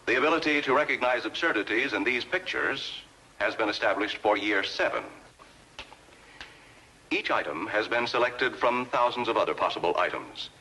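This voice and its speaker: A man with an American accent reads formal, scripted narration for a 1950s instructional film, measured and precisely enunciated. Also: mid-range voice, pushed and bright, low-quality recording. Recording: vintage 1950s film soundtrack.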